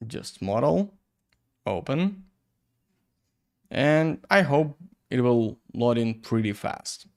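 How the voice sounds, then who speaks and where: A man teaching 3D software in English, which is not his first language. He thinks aloud at a slow, unhurried pace, stops often on fillers, and has a clean, resonant voice at a middle pitch. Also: very animated. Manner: halting non-native English, many fillers; thinks aloud while working. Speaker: 3D visualization instructor. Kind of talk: screen-recorded software tutorial.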